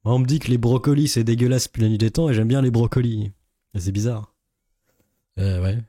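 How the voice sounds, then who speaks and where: A man's voice: medium pitch, fairly even intonation, fairly quick, mellow, no room sound, studio quality. A man giving casual reaction commentary as a YouTuber, in a sarcastic, sceptical and rambling way.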